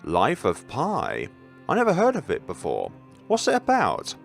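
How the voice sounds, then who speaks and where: A man's voice: medium pitch, huge pitch swings, unhurried, creaky, faint music behind, voice pushed up, exaggerated voice. A man voicing an awkward, well-meaning young male student in a visual novel, polite and hesitant as he makes small talk. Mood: curious. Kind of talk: visual novel read-aloud.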